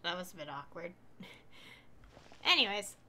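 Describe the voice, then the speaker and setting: A woman streamer making quiet, offhand remarks while playing a game. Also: lowish voice, very animated, takes it slowly, slight background noise. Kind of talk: casual gameplay commentary.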